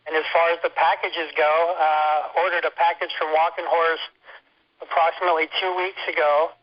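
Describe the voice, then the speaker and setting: Male patient giving an informal complaint account, fairly high voice, muffled telephone sound. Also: flat delivery, moderate pace. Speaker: hospital patient reporting complaints on a conference call. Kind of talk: informal first-hand account. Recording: muffled lo-fi telephone conference line.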